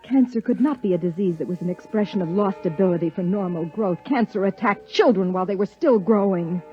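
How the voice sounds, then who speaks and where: A woman's voice: mid-range voice, brisk, soft background music, natural voice. An actress playing a troubled, analytical woman scientist in a 1950s radio drama. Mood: numb, reflective. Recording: dull, lo-fi 1950s radio recording.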